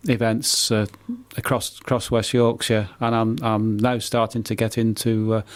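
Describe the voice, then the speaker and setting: An older British man, a poet being interviewed, who speaks haltingly and often repeats the starts of his words. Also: fairly low pitch, little pitch movement. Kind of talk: casual radio interview.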